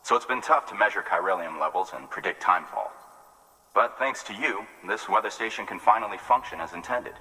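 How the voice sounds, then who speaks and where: A low-voiced grateful weather station worker. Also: male voice, a little fast, sharp tone, long reverb tail, low-quality recording. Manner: friendly, grateful, reassuring. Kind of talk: video game cutscene dialogue.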